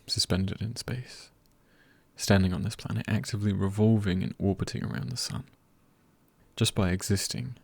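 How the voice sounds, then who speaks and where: Soft asmr guy, husky n breathy, loads of gravelly fry, half whispering, unhurried phrases. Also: fairly low pitch, dry recording. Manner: hushed, often dropping into a whisper. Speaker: ASMR creator. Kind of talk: ASMR, soft-spoken encouragement.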